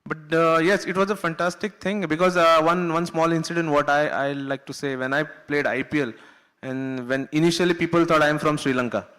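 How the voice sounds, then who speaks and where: Earnest Indian cricketer on a panel. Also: male voice, a little high, steady tone, a little fast, fairly bright tone, clear, resonant tone. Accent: Indian English. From Goa, India. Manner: earnest, uses filler "you know", laughs while telling stories. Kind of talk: panel discussion anecdote. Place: conference hall.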